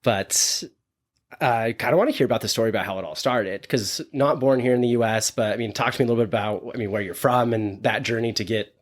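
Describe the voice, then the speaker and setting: A man's voice: medium pitch, fast talker. A man hosting a local podcast, chatting loosely and rambling through restarts. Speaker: podcast host. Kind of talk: casual interview chat.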